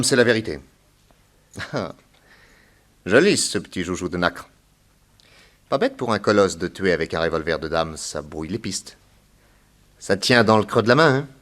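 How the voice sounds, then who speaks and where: An actor playing a shrewd, commanding detective in a crime radio drama, authoritative with a wry, knowing manner. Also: male voice, low-pitched, expressive, moderate pace, pauses often, a bit bright. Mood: coolly ironic. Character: shrewd, commanding male detective, coolly ironic.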